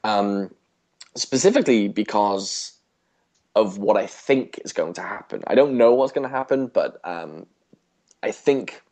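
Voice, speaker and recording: A man's voice: on the low side, expressive, a little slow, darkish tone. A man co-hosting a casual podcast, speaking hesitantly and often repeating words as he thinks. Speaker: podcast co-host. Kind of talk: casual podcast chat about a TV show.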